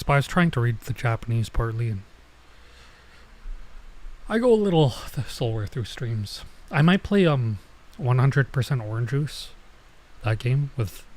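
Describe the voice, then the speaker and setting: A man streaming gameplay with casual commentary, thinking aloud in a hesitant, rambling way. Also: mid-range voice. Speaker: streamer, virtual YouTuber.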